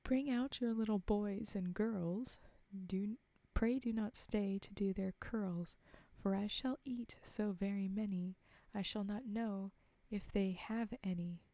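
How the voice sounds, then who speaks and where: A woman reading aloud the slow, menacing rhyme of a boastful man-eating giant in a fairy tale. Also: mid-range voice, mellow, dry recording, rough recording.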